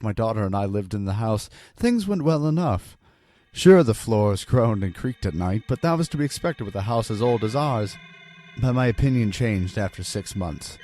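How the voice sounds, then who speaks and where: A man performs a haunted family man confessing his ordeal in a creepy horror story. His low voice is earnest, theatrical and full of foreboding, with music clearly playing underneath. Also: dark tone, natural voice. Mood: uneasy recollection. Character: haunted husband and father confessing a terrifying ordeal. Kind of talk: dramatic first-person horror narration. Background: background music.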